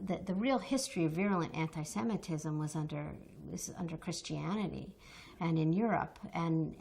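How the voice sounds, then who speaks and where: A woman's voice: lowish voice, creaky. A woman from the United States answering interview questions in an earnest, passionate way, often restarting and repeating words as she builds her point. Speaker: interview guest, activist.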